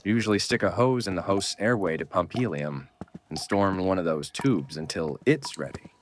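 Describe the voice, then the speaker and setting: Low-voiced gruff survivor guy, acted by a man, blunt and weary, horror audio drama, some noise behind. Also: animated, moderate pace. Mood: subdued, grim. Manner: blunt, scolding, urgent. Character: gruff, world-weary male survivor, stern mentor to a kid.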